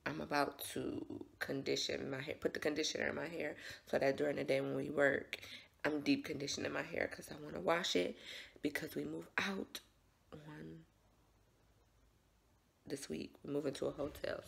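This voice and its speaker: Woman, American accent, casual vlogger, raspy morning voice, heavy vocal fry, lowish pitch. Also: steady tone, normal speed, slight background noise. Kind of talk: casual chatty vlog.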